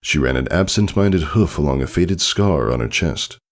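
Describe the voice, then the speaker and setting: A man's voice: a bass voice, lively intonation, normal speed. A man reading a scripted story aloud as its narrator. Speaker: fan fiction reader, narrator.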